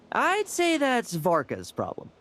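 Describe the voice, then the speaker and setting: Young knight, video game, animated pitch, sardonic, guarded, teasing. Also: male voice, slow pace, full character voice. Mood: sly. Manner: sardonic, questioning, theatrical. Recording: music behind the voice.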